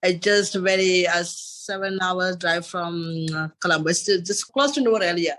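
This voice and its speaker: Man, Sri Lankan accent, thirties, bright tone, rambling casual storytelling, podcast guest. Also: high voice, normal speed. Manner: rambling, repeats "and after that" and "you know". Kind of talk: casual interview chat.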